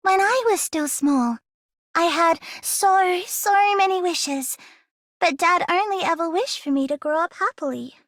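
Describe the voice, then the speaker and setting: A sweet little game heroine, hopeful and innocent. Her voice is extremely high, recorded dry and close, with an earnest delivery. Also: a little slow, fairly bright tone, no echo. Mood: tender, wishful. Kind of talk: scripted video game character voice line.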